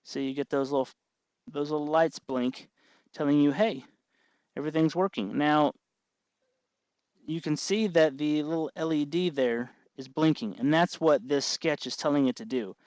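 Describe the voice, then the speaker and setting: A man hosting a hobby tutorial, explaining each step in an informal, folksy, unhurried way. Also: on the high side, steady tone, a little mellow, clean tone, no echo, highs cut off. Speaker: host of a hobby electronics tutorial. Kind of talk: informal hands-on tutorial narration.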